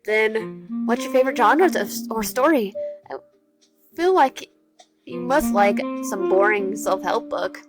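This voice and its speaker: A woman voicing a confident, teasing young man in a dating game, speaking in a soft, playful way. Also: on the high side, expressive, unhurried, music in the background.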